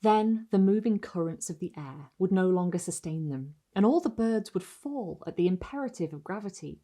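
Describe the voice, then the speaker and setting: A woman reading a gothic short story aloud, measured and expressive, lingering on phrases. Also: lowish voice. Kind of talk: literary audiobook-style narration of gothic fiction.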